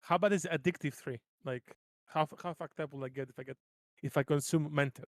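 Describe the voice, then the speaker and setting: A man's voice: fairly high pitch, fast. A man chatting casually as a player in a tabletop roleplaying game. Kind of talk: casual chat.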